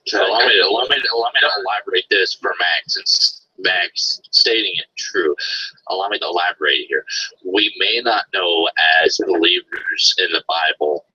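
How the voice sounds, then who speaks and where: A man in an online debate, speaking in a preachy, slow, insistent way. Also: low-pitched, sharp tone, breathy edge. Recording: dull lo-fi stream audio with faint noise.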